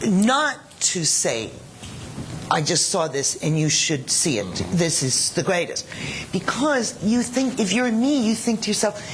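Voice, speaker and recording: A woman's voice: medium pitch, slight background noise, low-quality recording. A woman critic making a case on a panel, thoughtful and self-correcting as she argues. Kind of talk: panel discussion.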